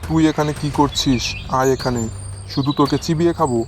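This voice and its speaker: A man's voice: on the high side, fairly quick, very noisy, lightly acted. A man voicing a hot-tempered hungry tiger. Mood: furious. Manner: gruff, threatening. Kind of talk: cartoon voice acting. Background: background music.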